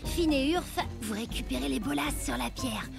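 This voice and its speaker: High-pitched, sly cartoon child leader. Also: female voice, normal speed, creaky, music in the background. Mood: plotting. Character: scheming, bossy child leader of a rival clan. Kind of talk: animated cartoon dubbing.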